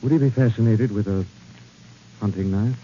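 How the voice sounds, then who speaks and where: An actor playing an urgent, gallant gentleman sleuth with clipped, insistent delivery in 1940s radio style. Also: on the low side, moderate pace, slight background noise, natural voice. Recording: muffled old 1940s radio recording.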